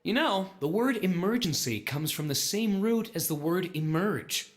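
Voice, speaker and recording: An actor playing an earnest man who muses and explains deliberately in visual novel game dialogue. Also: sits high, expressive. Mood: thoughtful, emphatic.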